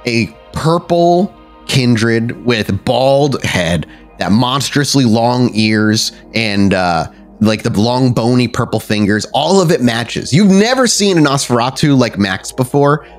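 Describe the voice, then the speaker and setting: A man's voice: mid-range voice, animated, slow pace, faint music behind. A man improvising descriptive narration as the storyteller of a tabletop roleplaying game, in a casual, conversational way.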